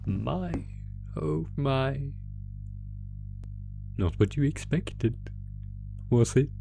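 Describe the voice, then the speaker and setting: A man voicing a sadistic, theatrical monster who taunts a prisoner in a horror roleplay, talking with drawn-out pauses. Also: medium pitch, lively intonation, vocal fry, music in the background, heavily acted. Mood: smug, mock-sweet. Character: sadistic, gloating male monster stalking its prisoner through a maze. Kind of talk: ASMR horror roleplay audio drama.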